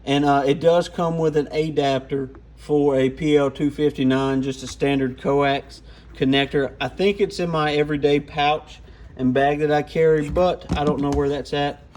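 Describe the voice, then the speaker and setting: A man's voice: a little high, fairly even intonation, moderate pace, darkish tone. A man giving an informal, off-the-cuff hands-on product walkthrough. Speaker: radio gear reviewer.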